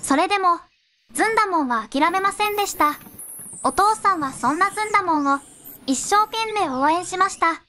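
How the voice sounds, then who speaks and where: A young-sounding synthetic woman narrating a fairy tale in measured storybook phrasing. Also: extremely high pitch, expressive, bright, forceful tone, a little hiss or hum.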